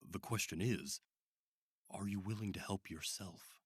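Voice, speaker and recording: A man playing a calm, persuasive therapist in a radio drama, reassuring with a condescending edge. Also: deep voice, a little slow, husky, no room sound, clean studio sound. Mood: persuasive, paternal. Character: calm, persuasive male therapist at sinister institute. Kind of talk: modern radio drama acting.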